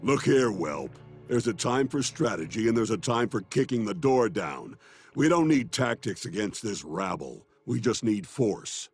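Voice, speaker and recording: An actor playing a gruff veteran beast-warrior commander in a video game, gravelly and blunt as he gives orders. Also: on the low side, very animated, unhurried, faint music behind, full character voice. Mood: brusque, commanding. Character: gruff veteran charr war master, brusque and commanding.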